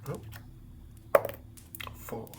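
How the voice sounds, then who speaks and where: A man doing improvised ASMR in a breathy whisper, unhurriedly murmuring to himself as he works. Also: drawn-out words, noisy background. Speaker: ASMR host.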